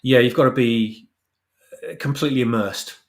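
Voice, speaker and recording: Casual man with a mid-pitched voice. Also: steady tone, normal speed. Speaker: guest, audio drama creator. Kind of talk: casual discussion.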